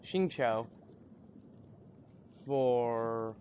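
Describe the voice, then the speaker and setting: A man's voice: mid-range voice, extremely slow, a little mellow, a little hiss or hum. A man doing casual gameplay commentary as a streamer, thinking aloud and muttering through lists that trail off. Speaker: game streamer. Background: game music.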